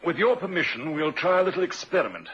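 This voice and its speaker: An actor playing a shrewd, unhurried police inspector in an old-time radio drama, a man with a measured, probing, quietly assured manner. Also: a little high, big pitch swings, husky, exaggerated voice. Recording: muffled vintage radio recording.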